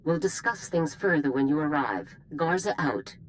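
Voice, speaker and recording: Low-voiced, commanding female general. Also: lively intonation, moderate pace, slight background noise. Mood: stern, businesslike. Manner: formal, commanding, clipped. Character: stern older female military general, authoritative and brisk. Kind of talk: scripted video game acting.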